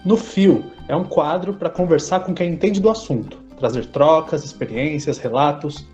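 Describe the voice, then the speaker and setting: A Brazilian man in his thirties co-hosts a podcast with scripted narration. His voice is mellow and soft-edged, with music faintly behind it. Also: on the high side, moderate pace, muffled recording. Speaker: podcast co-host.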